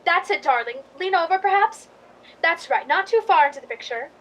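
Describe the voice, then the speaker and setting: Very high-voiced gushing movie diva. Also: female voice, animated, fairly quick, a bit bright, highs cut off, a little hiss or hum. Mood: airily bossy. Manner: theatrical, gushing, affected. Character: spoiled, gushing Hollywood movie queen, grand diva. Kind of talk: radio drama acting.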